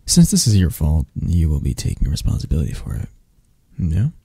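A man voicing a possessive, teasing spider-creature lover in an intimate ASMR roleplay, with a husky, breathy, gravelly murmur. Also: extremely low pitch, huge pitch swings, no echo, exaggerated voice. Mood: playfully threatening. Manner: slow, teasing murmur with soft chuckles and near-whispers.

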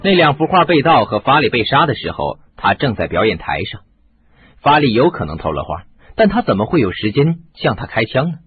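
A man's voice: mid-range voice, sing-song, brisk, narrow, tinny audio, close to an everyday voice. A man acting in a radio drama as a shrewd, confident sleuth, explaining things in an assured way. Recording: band-limited audio with music.

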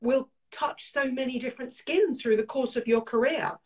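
A woman's voice: high-pitched, normal speed. A woman, hosting a beauty interview over a video call from home and asking questions with enthusiasm. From England. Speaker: host, beauty presenter. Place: home room.

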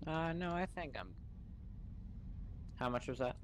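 A man's voice: on the high side, measured pace, a little hiss or hum. A man talking casually in character in an online role-playing game over a voice chat microphone.

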